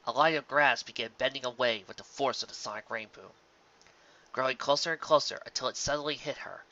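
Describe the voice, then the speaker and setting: A man reading a fan fiction story aloud as narrator, in an expressive storytelling style. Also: mid-range voice, dull audio. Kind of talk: expressive story narration with occasional casual asides.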